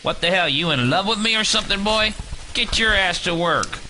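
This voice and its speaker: A man playing a gruff, menacing older prison guard with a Louisiana Southern accent in an audio drama. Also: sits high, expressive, moderate pace, sharp tone, a little hiss or hum, voice pushed up, full character voice. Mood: commanding. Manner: folksy drawl when reminiscing, barking orders when angry. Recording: music bed under the voice.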